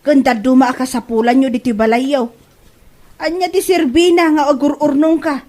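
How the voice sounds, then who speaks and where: A high-pitched, fussy mother-in-law acted by a woman in an Ilocano comedy drama. She scolds and complains bluntly in an Ilocano accent, sounding thoroughly fed up. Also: steady tone, normal speed, a little mellow, natural voice. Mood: scolding, lecturing.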